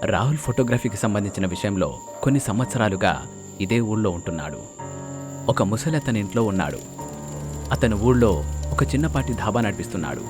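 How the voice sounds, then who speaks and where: A man narrating a scripted horror story as a storyteller. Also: lowish voice. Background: loud music, rain and storm effects.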